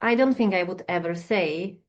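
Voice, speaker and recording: Hesitant soft-spoken woman, lo-fi sound. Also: on the low side, moderate pace. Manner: hesitant, searching for words. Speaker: guest counsellor. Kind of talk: reflective personal conversation. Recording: dull lo-fi audio.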